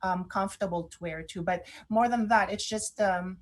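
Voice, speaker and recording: A woman's voice: medium pitch, moderate pace. A woman co-hosting a fashion talk show in casual chat, enthusiastic and repeating phrases for emphasis.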